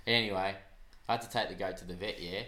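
A man's voice: low voice, fairly even intonation, quick pace, sharp tone. An actor playing a naive, eager young Australian neighbour who rambles through his stories. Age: young adult. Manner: naive, earnest, rambling storyteller. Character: dim eager young Aussie neighbour, gullible. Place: small suburban flat indoors.

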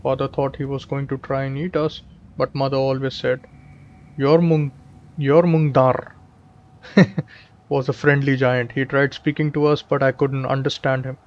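A man's voice: medium pitch, little pitch movement, moderate pace, lo-fi sound. A man with an Indian accent, a native Hindi speaker, doing casual gameplay commentary and reading game text aloud. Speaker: gaming video host.

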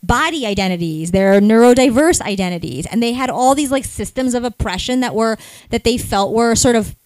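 A woman's voice: fairly high pitch, lively intonation, fairly quick, darkish tone, no room sound. A woman co-hosting a podcast, earnestly recapping a book with reflective, hedging phrases. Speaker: podcast co-host. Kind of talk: live podcast book discussion.

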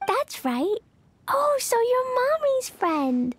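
A woman's voice: very high-pitched, big pitch swings, takes it slowly, exaggerated voice. An actress voicing a cheerful, naive little girl in a video game, talking in a bubbly, childlike way. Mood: playful. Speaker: game character. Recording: game audio with soft music.

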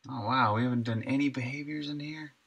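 A man in his thirties, a game developer thinking aloud in a halting, musing way at his home desk while streaming his work. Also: mid-range voice, fairly even intonation, creaky, fairly bright tone, breathy edge. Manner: musing, halting, talks to himself.

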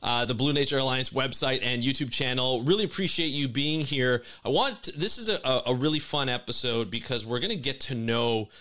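A man in his thirties hosting an online interview, upbeat and loose, with many restarts, speaking into a boom-arm mic in a home office. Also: a little fast, few pauses, pushed and bright. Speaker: host. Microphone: headphones and boom-arm studio mic.

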